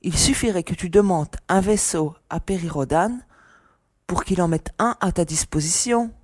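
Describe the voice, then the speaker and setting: A woman's voice: fairly low pitch, moderate pace, a little mellow, breathy edge, no echo. Actress as a cool young woman officer, dry recording. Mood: skeptical, coy. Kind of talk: French audio drama dialogue.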